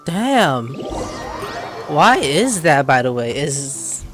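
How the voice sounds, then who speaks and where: Man, casual gameplay commentary, informal reactions, lively pitch swings, faint game music. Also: very slow. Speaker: game streamer.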